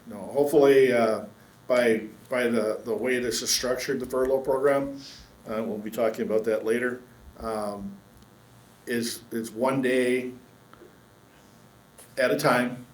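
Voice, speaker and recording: A man chairing a county board meeting, speaking in a measured way with many fillers and restarts. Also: medium pitch, steady tone. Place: council chamber. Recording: echoey meeting room with faint hum.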